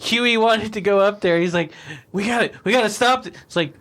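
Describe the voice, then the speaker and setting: A man's voice: high voice, brisk, a bit bright. A man co-hosting a casual beer-tasting podcast, rambling through his points with lots of restarts and laughter. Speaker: podcast co-host. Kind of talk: casual chat over beers.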